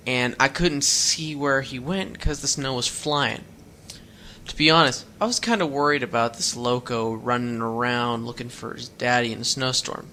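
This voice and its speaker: Teen runaway boy voiced by a male actor, guarded but earnest, bright voice, faint hiss behind. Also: medium pitch, fairly even intonation, normal speed, close to an everyday voice. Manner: plain-spoken, rambling storyteller with folksy words. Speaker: hitchhiking runaway. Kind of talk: radio drama acting.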